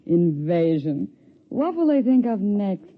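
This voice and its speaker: A woman's voice: mid-range voice, sing-song, slow pace. An actress playing an indulgent, slightly distracted suburban mother in a 1950s radio drama. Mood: amused, indulgent. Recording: muffled, noisy 1950s radio recording.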